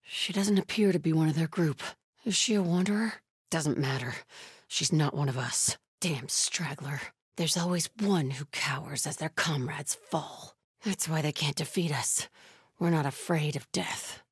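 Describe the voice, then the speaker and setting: An actress playing a devout, stern, vigilant cult scout in a video game, speaking in a hushed, breathy, solemn way. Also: female voice, sits low, measured pace, dry recording, studio quality, full character voice. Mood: guarded, grim. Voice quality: breathy, hushed, often whispery. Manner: solemn, guarded.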